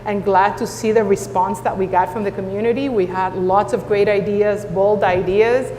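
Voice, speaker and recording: A woman's voice: medium pitch, steady tone, normal speed, mellow. A woman with a Spanish accent, a native Spanish speaker, speaking earnestly on a panel with frequent restarts. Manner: earnest, many filler restarts. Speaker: school superintendent. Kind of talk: panel discussion. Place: conference hall. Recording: echoey hall with faint noise.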